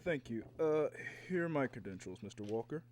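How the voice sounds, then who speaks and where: An actor playing a businesslike government agent, questioning formally in a 1950s radio drama style. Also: male voice, mid-range voice, lively intonation, a little fast, a little mellow, slight background noise, close to an everyday voice. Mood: businesslike, probing.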